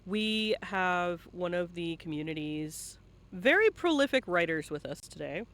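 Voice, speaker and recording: A man with a high, bright voice hosts a live-streamed interview. He speaks informally into a close mic, teasing and swearing as he goes in a playful, bantering style. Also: expressive, unhurried. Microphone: close mic at a computer.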